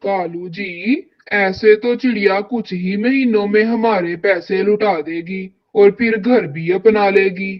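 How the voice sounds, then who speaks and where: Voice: mid-range voice, slow, hardly any pauses, dull audio, natural voice. An actor voicing a female crow, a landlord's scheming wife, in a cartoon. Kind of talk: Hindi cartoon dialogue.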